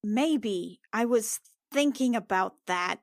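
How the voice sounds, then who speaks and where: A woman playing a tabletop game, talking in halting, restarting phrases, half in character and half as herself. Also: a little high, animated, no room sound, clean studio sound. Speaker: player.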